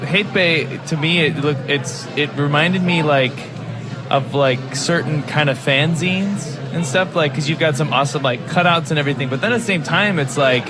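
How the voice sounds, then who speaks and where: A man with an American accent hosting a casual podcast interview, talking in an informal, rambling way. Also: on the high side. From United States. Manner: rambling, heavy use of "like", informal.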